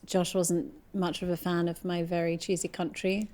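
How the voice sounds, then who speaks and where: A woman's voice: on the low side, little pitch movement, normal speed, a little mellow. A woman, a folk singer and banjo player, chatting casually in an interview.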